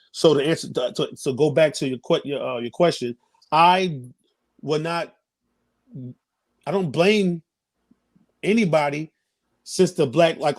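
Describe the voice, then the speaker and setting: A man in his thirties with a slightly high voice talks casually as an interview guest. He gives his opinions in a halting way, restarting and repeating phrases while he works toward his point. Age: 30s.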